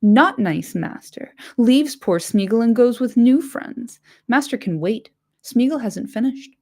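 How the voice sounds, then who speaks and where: A woman voicing a wretched, greedy male creature in a hissing, high, whiny voice that slips into whispers. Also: animated, unhurried, a little mellow. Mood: sulky.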